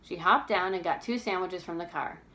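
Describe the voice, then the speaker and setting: A woman reading a storybook aloud as narrator, with expressive, careful, dramatic delivery. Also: lowish voice, normal speed.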